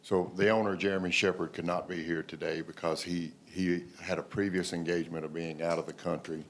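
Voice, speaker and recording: A man, an engineer addressing a planning commission in a halting way, with many fillers and restarts. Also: low voice, flat delivery, slight background noise. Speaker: engineer for applicant. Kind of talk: public comment at meeting.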